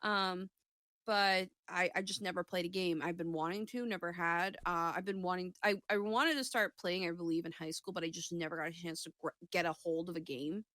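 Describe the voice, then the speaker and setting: A woman's voice: lowish voice, steady tone, brisk, fairly bright tone, no echo. Rambling, sweary woman in a dry-sounding recording. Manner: rambling, self-interrupting, sweary. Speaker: co-host of a chat show. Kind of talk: casual gaming chat.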